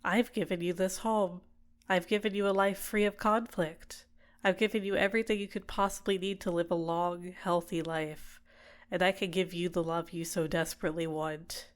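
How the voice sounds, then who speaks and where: A woman performs a manipulative villainess for an ASMR roleplay. Her voice sits on the low side, soft and deliberate, soothing her captive with possessive tenderness and gentle coaxing. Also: fairly even intonation, lightly acted. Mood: tender, possessive. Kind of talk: ASMR roleplay, intimate personal attention.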